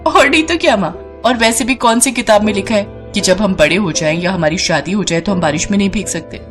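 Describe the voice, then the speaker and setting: A woman's voice: mid-range voice, brisk, faint music behind, close to an everyday voice. A woman voicing a girlish, eager and dutiful young bride in a story. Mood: playful. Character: cheerful, girlish young bride who loves rain.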